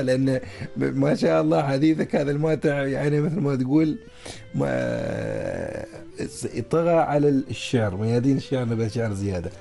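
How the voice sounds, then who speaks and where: A male host, prompting and praising his guest in a broadcast interview. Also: medium pitch. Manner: gravelly, praising and lively when prompting.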